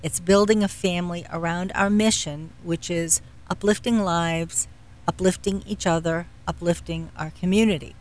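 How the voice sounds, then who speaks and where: A middle-aged woman speaking as an interview guest, explaining things in a measured way and pausing to search for words. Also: fairly low pitch, fairly even intonation, a little mellow. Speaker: guest, philanthropy executive. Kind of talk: explanatory radio interview talk.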